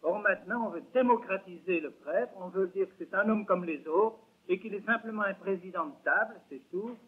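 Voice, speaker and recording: A man's voice: sits high, breathy edge. An older man giving an emphatic religious lecture, with rhetorical questions and repeated words. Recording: tinny lo-fi 1970s lecture recording.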